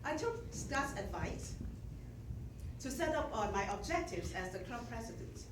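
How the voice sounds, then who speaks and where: A woman's voice: fairly high pitch, sing-song, noisy background. Woman with a Chinese accent giving a speech. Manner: measured, self-deprecating delivery. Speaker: outgoing club president. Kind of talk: prepared speech to a club. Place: meeting room with audience. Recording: echoey room from a distance.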